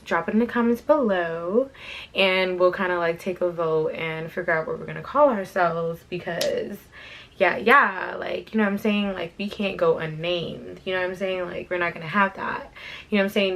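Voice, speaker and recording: A young woman hosting her channel, telling a casual story time in a chatty, slangy way while doing her hair, her voice a little raspy from being sick. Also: lowish voice, moderate pace, a bit bright. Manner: chatty, slangy, repeats "like" and "you know what I'm saying". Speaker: fashion channel host.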